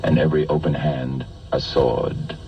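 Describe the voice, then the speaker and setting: Very deep-voiced man narrating old film. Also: flat delivery, a little slow, husky. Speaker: documentary narrator. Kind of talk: scripted narration. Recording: dull lo-fi archive film audio.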